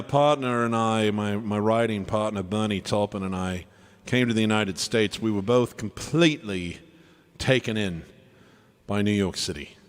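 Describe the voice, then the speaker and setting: A man's voice: fairly low pitch, clean tone. A man speaking in a put-on British accent, giving casual stage banter to an online audience as a tribute performer.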